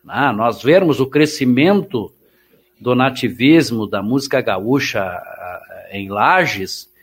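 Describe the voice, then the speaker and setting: A male interviewer with a voice of middling pitch. He speaks in a measured way and lays out his points before he hands the question over. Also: lively intonation, husky. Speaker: interviewer host. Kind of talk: interview conversation.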